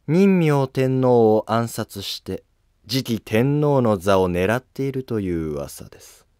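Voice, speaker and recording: A man voicing a stately, probing empress dowager in a historical cartoon drama, measured and authoritative. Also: mid-range voice, big pitch swings, a little mellow, clear, resonant tone, no echo, clean studio sound, voice pushed up. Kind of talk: Japanese historical animated drama acting.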